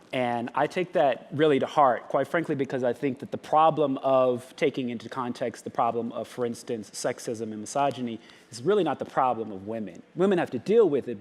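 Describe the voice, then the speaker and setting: A man in a live panel talk with a mid-pitched voice, earnest and searching for words. He restarts and pads his sentences with fillers. His voice rings with the long reverb of a large hall. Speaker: nonprofit founder, panelist. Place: conference hall.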